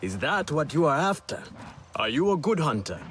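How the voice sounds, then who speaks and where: A man's voice: a little high, big pitch swings, moderate pace, fairly bright tone, a little hiss or hum. A man playing a proud, boastful young alien hunter with a cocky, grandiose manner in a game cutscene, music behind. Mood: scornful. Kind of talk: video game cutscene acting.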